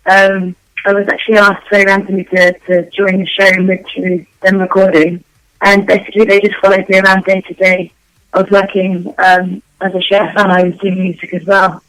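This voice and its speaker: A woman singer being interviewed, her voice worn out and going hoarse, chatting loosely with lots of ums and restarts. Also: mid-range voice, monotone, moderate pace, fairly bright tone, dry recording, rough recording. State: losing her voice. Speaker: guest vocalist. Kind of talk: casual interview answers.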